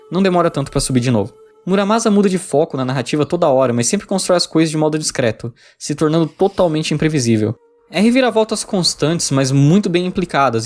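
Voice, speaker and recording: Fast-talking Brazilian man narrating a review. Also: on the high side, a little mellow. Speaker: visual novel reviewer. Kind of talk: scripted video review narration.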